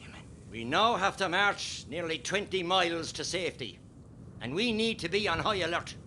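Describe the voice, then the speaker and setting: A man's voice: sits high, expressive, bright, forceful tone, slight background noise. An actor playing an Irish rebel column commander in a radio play, rousing and solemn, declaiming like a man giving orders. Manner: slow, declamatory, barking orders.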